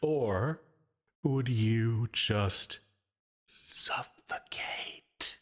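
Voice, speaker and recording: A man voicing a possessive, gleefully menacing sleep paralysis demon in an ASMR audio roleplay, taunting the listener. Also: medium pitch. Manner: slow, drawn-out taunting with dark chuckles. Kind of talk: ASMR yandere roleplay, dramatic monologue.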